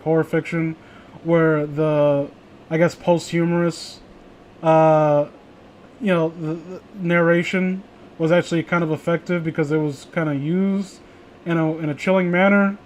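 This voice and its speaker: A man with a notably high voice talks casually as a streamer commenting on what he reads. He stammers and restarts, sounding exasperated and swearing freely. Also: fairly even intonation, normal speed, a little mellow, slight background noise. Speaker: streamer reading creepypasta with friends. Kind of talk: casual commentary and banter on a read-aloud.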